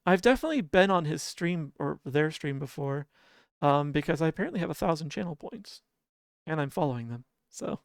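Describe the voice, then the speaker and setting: Sleepy-voiced, smooth-toned game streamer. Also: mid-range voice, brisk, dry recording, studio quality. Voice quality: sleepy-sounding voice. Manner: soft, relaxed, chuckles often and hums idle filler tunes. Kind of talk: casual livestream chat.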